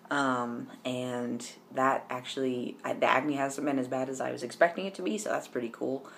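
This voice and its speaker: A man's voice: mid-range voice, fairly even intonation, normal speed, gravelly, fairly bright tone, a little hiss or hum. A young man chatting casually to camera in a personal vlog, rambling with lots of ums and restarts. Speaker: vlogger.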